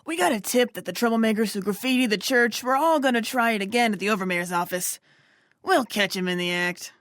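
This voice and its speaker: A woman's voice: fairly high pitch, a little fast, fairly bright tone, dry recording, studio quality, close to an everyday voice. A woman playing a tough, exasperated pony security guard in an audio drama, with a blunt, no-nonsense way of talking. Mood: matter-of-fact.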